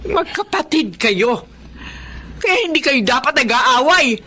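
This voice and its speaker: A woman's voice: high voice, animated, a little slow, pushed and bright, full character voice. A woman playing an elderly, scolding Filipino mother and grandmother in a radio drama. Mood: exasperated, scolding.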